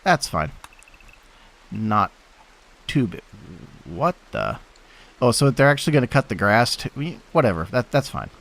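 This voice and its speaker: A man's voice: mid-range voice, big pitch swings, measured pace, vocal fry, long pauses, fairly bright tone. A young man with a stuffy voice from allergies, giving casual rambling commentary on his gameplay with lots of fillers. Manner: rambling, thinking aloud with many fillers. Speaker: host.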